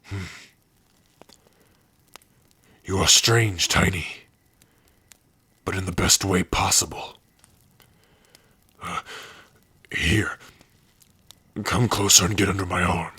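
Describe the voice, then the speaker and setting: A man voicing a big, gruff but tender orc warrior in an ASMR roleplay, shy and devoted, speaking haltingly and intimately close to the mic. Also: steady tone, no room sound, heavily acted. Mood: fond, gentle. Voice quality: husky, breathy low voice. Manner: slow, halting, softly intimate. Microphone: close dry microphone.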